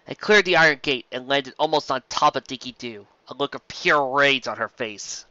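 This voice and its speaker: A man reading a story aloud as narrator, in an expressive scripted style. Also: medium pitch, normal speed, no echo, dull audio. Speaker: narrator, fan fiction reader.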